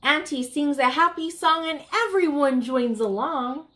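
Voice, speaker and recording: An American woman in her thirties hosts a storytime for young children. Her voice sits a little high, and she reads aloud expressively, speaking directly to her young listeners. She is recorded indoors in a small room. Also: unhurried. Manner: addresses young listeners, expressive picture-book reading. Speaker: children's storytime host at a public library.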